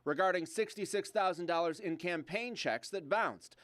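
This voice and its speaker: A man's voice: high-pitched, moderate pace, fairly bright tone. A man with an American accent delivering a scripted investigative news report.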